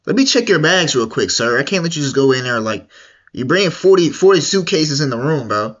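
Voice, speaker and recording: A man's voice: on the high side, big pitch swings, fairly quick, a bit bright, highs cut off. Slangy young man chatting on stream. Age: young adult. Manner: slangy and repetitive, drawling and mumbly. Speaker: streamer reacting to a video. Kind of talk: casual livestream reaction chat.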